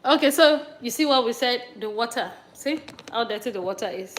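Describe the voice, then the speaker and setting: A chatty Nigerian-accented woman talks her viewers through a recipe in her home kitchen. Her voice sits a little high, and she laughs easily and trips over a word now and then. The kitchen sounds roomy and echoey, with a faint hiss behind her. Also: expressive, brisk. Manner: chatty, laughs often, stumbles over words. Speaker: cooking vlogger. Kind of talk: casual cooking chat.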